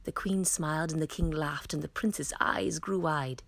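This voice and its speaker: A woman reading a fairy tale aloud as a storytime reader, in an expressive, dramatic manner. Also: low-pitched, moderate pace, gravelly, no echo. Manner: dramatic storytelling with emphatic swells.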